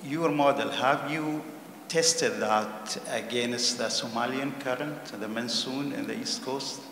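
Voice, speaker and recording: Man, audience questioner, loud, direct, gravelly voice, echoey hall, noisy background. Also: mid-range voice, takes it slowly. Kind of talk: conference Q&A question.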